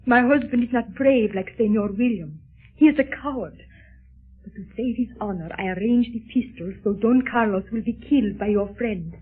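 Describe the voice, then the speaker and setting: Casting: proud, plotting wife, formal delivery, vintage radio drama with a phone-thin band. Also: female voice, fairly high pitch, normal speed, slightly rough. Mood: composed, scheming. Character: proud, scheming Spanish married lady. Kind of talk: 1930s radio serial acting. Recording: muffled narrow-band 1930s radio recording.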